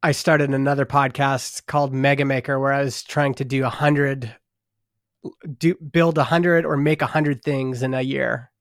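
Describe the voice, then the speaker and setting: A man's voice: mid-range voice, steady tone, moderate pace, no room sound, clean studio sound. A man from northern Alberta with a Canadian accent, chatting casually as a guest on a podcast. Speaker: podcast guest, startup founder. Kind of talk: casual interview chat.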